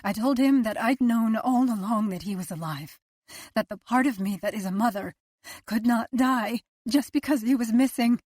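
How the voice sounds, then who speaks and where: A woman's voice: fairly high pitch, normal speed, darkish tone, no echo, voice pushed up, close to an everyday voice. A woman narrator voicing a grieving mother who clings to the hope that her missing son is alive, earnest and heartfelt. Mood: hopeful. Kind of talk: audiobook character voice.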